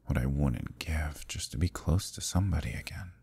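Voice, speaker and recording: A man playing an ancient, imprisoned eldritch villain in an ASMR roleplay, seductive and lonely, with a deep, breathy voice and an intimate, teasing manner. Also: moderate pace, warm tone, exaggerated voice. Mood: longing. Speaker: voice actor.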